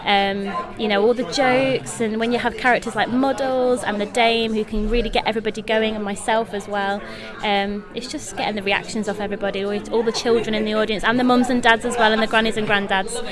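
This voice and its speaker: A woman's voice: on the high side, fairly even intonation, fairly quick, few pauses. Enthusiastic British woman chatting over crowd noise. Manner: enthusiastic, effusive. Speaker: pantomime actress being interviewed. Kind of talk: interview chat. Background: busy press event crowd.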